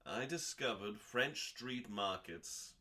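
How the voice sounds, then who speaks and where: A man hosting a dramatic scripted reading in a deliberate, theatrical manner with pauses and dry, deadpan delivery. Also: fairly low pitch. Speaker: host.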